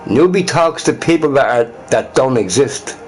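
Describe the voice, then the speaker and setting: A man's voice: medium pitch, expressive, normal speed, a little mellow, soft background music. A man streaming on his own, chatting casually in a rambling, profane way and laughing often. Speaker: livestreamer. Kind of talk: rambling solo stream chat.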